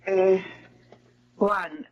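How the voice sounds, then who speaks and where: An actress playing a middle-aged mother who gently pleads with her daughter for money. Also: medium pitch, steady tone, drawn-out words. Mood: pleading. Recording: dull lo-fi with faint ambience.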